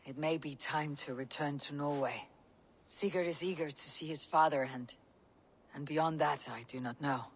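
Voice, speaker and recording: An actor playing a loyal, guarded Viking warrior in a video game, speaking in a measured, restrained way. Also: male voice, a little high. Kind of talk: dramatic video game acting.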